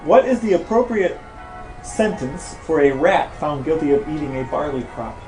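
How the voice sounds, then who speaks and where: A man's voice: a little high, expressive, moderate pace, a little mellow. An American man co-hosting a podcast, chatting casually and asking playful questions in a dryly mock-serious way. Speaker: podcast co-host, interviewer. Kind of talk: casual chat and playful interviewing. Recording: echoey room, faint music.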